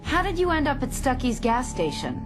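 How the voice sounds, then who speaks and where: A woman's voice: sits high, sing-song, normal speed. A woman playing a firm, considerate law officer in a game, businesslike in manner. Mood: professional. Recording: music behind the voice.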